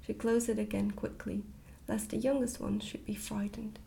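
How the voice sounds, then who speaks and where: Hushed, creaky-voiced woman reading a story. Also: medium pitch, flat delivery, normal speed, a little hiss or hum. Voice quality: hushed, near-whisper. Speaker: ASMR reader. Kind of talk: soft ASMR audiobook narration.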